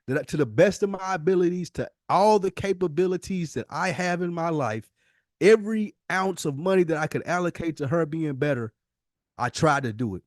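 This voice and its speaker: A man's voice: a little high, dry recording. Emphatic man debating on a podcast, close dry sound. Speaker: podcast host.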